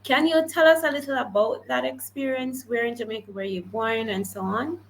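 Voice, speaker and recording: A woman's voice: fairly high pitch, normal speed, slight background noise. A woman hosting an interview, asking questions in a conversational way and sometimes stumbling over words. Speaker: interview host.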